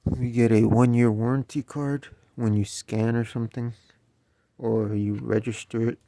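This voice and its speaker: A man's voice: medium pitch, little pitch movement, a little slow, gravelly, mellow. A man casually walking through an unboxing of a speaker stand, explaining each part as he goes and correcting himself along the way. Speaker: DJ reviewing gear.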